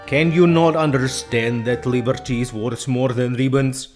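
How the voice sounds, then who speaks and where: A man's voice: mid-range voice, steady tone, moderate pace, soft background music, pitched above the performer's own voice, lightly acted. Young boar rebel for an audiobook, played by a man: vivacious, firm, lecturing comrades insistently. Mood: firm, scolding.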